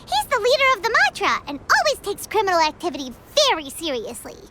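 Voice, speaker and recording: An actress voicing a tiny, chatty, excitable girl companion who talks about herself in the third person, in a game cutscene. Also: quick pace, noisy background, heavily acted. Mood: chirpy. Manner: refers to herself in third person, squeaky and animated. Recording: game cutscene with loud music.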